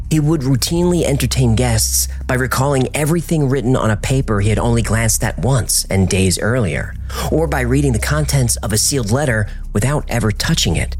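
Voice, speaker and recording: A man telling a legend as scripted documentary narration, his voice rising and dropping sharply for drama. His pitch sits in the middle, and faint music plays behind him. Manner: dramatic storytelling with wide pitch swings.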